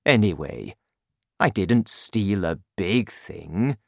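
A man voicing a kind-hearted storybook giant with a slow, emphatic, complaining manner. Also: fairly low pitch, animated, vocal fry, dry recording, studio quality, exaggerated voice. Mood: emphatic, kindly. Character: kind-hearted giant who hates his vegetable food.

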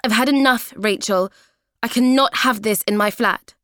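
A woman voicing an angry young woman scolding her flatmate in a raised voice. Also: fairly high pitch, moderate pace, dry recording, clean studio sound, voice pushed up, lightly acted.

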